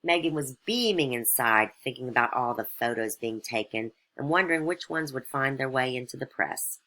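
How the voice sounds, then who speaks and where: A woman's voice: extremely low pitch, animated, normal speed, bright, forceful tone. A woman reading scripted satirical fairytale narration as a storyteller.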